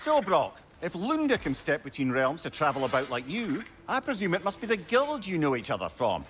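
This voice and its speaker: A man's voice: on the high side, wildly swooping pitch, brisk, fairly bright tone, a little hiss or hum. A man playing a witty, talkative older sage companion in a video game, with a Scottish accent and an articulate, wry manner. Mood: curious. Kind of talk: dramatic video game acting.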